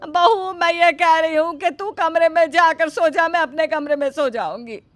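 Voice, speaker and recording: A woman's voice: extremely high pitch, moderate pace, dry recording. Woman doing an exasperated, jittery mother-in-law. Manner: sarcastic, nervous, stammering.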